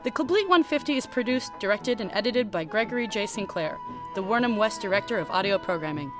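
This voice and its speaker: A woman's voice: medium pitch, lively intonation, quick pace, poor audio. A woman reading scripted credits as an announcer. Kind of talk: scripted narration. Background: music.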